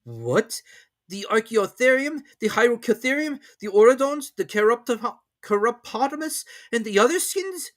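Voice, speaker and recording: A man voicing a quiet, unruffled thirty-year-old manservant in a storybook reading, polite and questioning. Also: very high-pitched, sing-song, moderate pace, voice pushed up. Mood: politely questioning.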